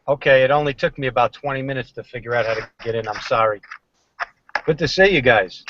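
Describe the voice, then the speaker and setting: A man's voice: medium pitch, brisk, a bit bright, dull audio, a little hiss or hum. A man joining a casual live show by video call, easygoing and a little apologetic, laughing along with the hosts.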